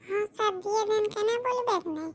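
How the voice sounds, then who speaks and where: Very high-pitched grumbling cheeky schoolboy. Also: fairly even intonation, fast talker. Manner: colloquial, grumbling, mildly foul-mouthed. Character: cheeky grumbling schoolboy, unprepared for exam, scheming to cheat. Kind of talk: comedy cartoon monologue.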